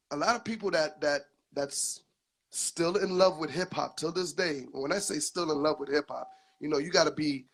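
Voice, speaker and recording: A man's voice: on the high side, fairly bright tone. A man hosting an interview, stammering and repeating words as he builds his questions. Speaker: interviewer, host. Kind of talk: casual interview talk.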